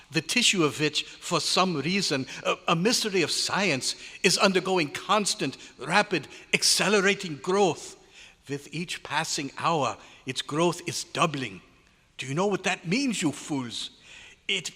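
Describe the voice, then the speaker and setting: A man playing an agitated, despairing scientist in a radio horror drama, speaking emphatically and haltingly, repeating words for weight. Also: a little high, bright, forceful tone, breathy edge, full character voice. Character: despairing, agitated male scientist warning of doom. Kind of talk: old-time radio horror drama acting. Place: hotel convention meeting room, live performance. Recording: live hall, long reverb.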